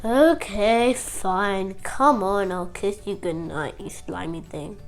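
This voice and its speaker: A woman's voice: medium pitch, slow, soft background music, pitched below the performer's own voice. A young female storyteller voicing a young, nervous, petulant princess in short, emphatic replies. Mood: annoyed, grudging.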